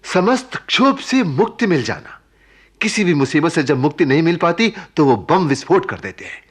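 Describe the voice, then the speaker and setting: A man's voice: on the high side, expressive, normal speed. An actor in a radio play voicing a concerned, philosophical man who soothes and explains while caring for an injured stranger. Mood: reflective, earnest. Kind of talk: Hindi radio play acting.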